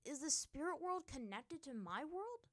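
A woman's voice: high voice, very animated, measured pace, no room sound, voice pushed up. A woman voicing an impatient, hot-headed male Avatar who talks in a demanding, exasperated way in a story reading. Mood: questioning.

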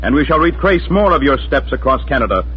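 A man's voice: mid-range voice, very animated, fast talker, slightly rough. A man reading a formal scripted 1940s radio announcement in a solemn, oratorical way. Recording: dull 1940s radio broadcast.

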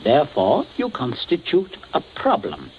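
An actor playing a cold, calculating gentleman with measured, formal speech in a 1940s radio suspense drama, on a muffled, noisy recording. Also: on the high side, huge pitch swings. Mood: cold, grave. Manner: measured, deliberate, formal phrasing. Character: cold, commanding, calculating gentleman blackmailing with menace.

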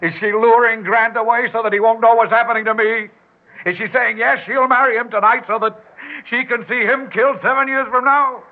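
An American actor playing a betrayed, panicking mayor, bitter and pleading, in a 1960s radio drama. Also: male voice, very high-pitched, fairly even intonation, brisk, narrow, tinny audio. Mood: bitter, accusing. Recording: band-limited vintage radio recording.

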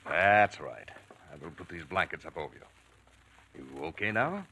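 An actor playing a middle-aged plant doctor in an old radio drama, fatherly, bossy and gently scolding. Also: low-pitched, big pitch swings, unhurried, full character voice. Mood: soothing, firm. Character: brisk, fatherly male plant doctor, gruffly reassuring. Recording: noisy vintage radio recording.

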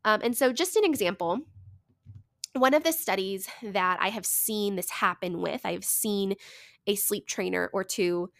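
A woman hosts her own podcast, sounding stuffy and congested from a cold. She explains at length in a relaxed, chatty way, leaning on fillers as she goes. The mic is close and the sound is dry, with no room around her. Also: mid-range voice, a little slow, vocal fry, no room sound. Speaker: podcast host. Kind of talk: casual solo podcast talk.

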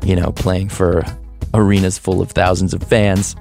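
A man's voice: low voice, level tone, normal speed, gravelly, a little mellow, faint music behind. A man in his thirties hosting a podcast, thinking aloud in a loose, hesitant way with restarts. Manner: thoughtful, hesitant, with restarts and laughs. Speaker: podcast host. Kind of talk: reflective podcast monologue.

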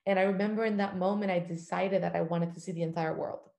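A woman from Cuba speaks as a podcast guest, telling her story with eager energy and frequent "um"s. Her voice sits fairly low. The room around her sounds echoey and open. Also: fairly even intonation, fast, gravelly. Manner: warm, enthusiastic storytelling with many "um" fillers. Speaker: podcast guest, travel journalist. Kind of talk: casual interview talk.

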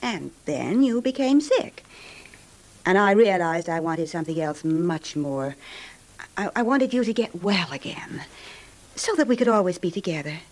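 An actress playing a soothing but possessive, controlling wife in an old time radio drama, coaxing and insistent. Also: female voice, on the low side, lively intonation, measured pace, a little mellow.

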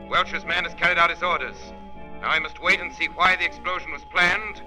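A man voicing a mysterious, unseen male avenger who speaks slowly, taunting and sinister, in 1930s radio drama. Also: on the high side, steady tone, sharp tone, faint music behind. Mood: urgent. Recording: muffled old radio broadcast.

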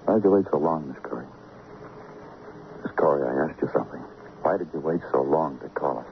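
An actor playing a brooding, hard-boiled New York police detective in 1950s radio noir, dramatic and terse. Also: male voice, very deep voice, a little fast, a little hiss or hum. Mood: terse, probing. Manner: poetic, dramatic narration, terse questioning. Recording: muffled old radio recording, loud music.

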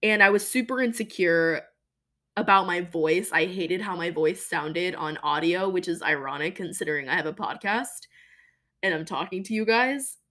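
Young woman hosting a podcast, solo. Lower-pitched voice, chatty and emphatic, lots of fillers. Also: normal speed, pushed and bright. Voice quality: deeper voice than most women. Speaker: podcast host. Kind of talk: casual personal monologue.

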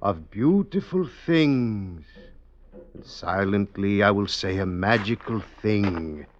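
Coaxing radio-play villain, low voice, slow delivery, folksy, muffled old recording. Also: male voice, expressive, a little hiss or hum. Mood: coaxing, sly. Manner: coaxing, halting, old-fashioned folksy speech. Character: scheming murderous man feigning kindness to a trusting lad. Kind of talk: 1950s radio drama acting.

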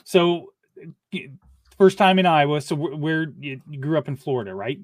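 A male podcast host who interviews his guest in a relaxed, easygoing way and laughs easily. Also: a little high, moderate pace, no room sound. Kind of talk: casual interview.

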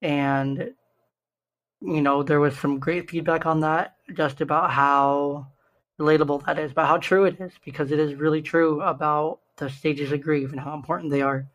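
A young man with a slightly raspy, hoarse voice, earnestly hosting a solo podcast and slowing down to stress key numbers. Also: a little high, steady tone, a bit bright, poor audio. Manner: earnest, stresses numbers slowly, self-interrupting restarts. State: hoarse from shouting the day before. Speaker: podcast host.

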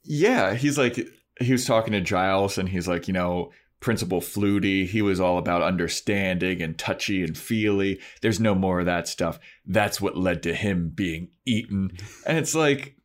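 Man, lowish voice, podcast co-host, casual recap, slangy phrasing, mimicked quotes. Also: normal speed, few pauses. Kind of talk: casual chat, TV episode recap.

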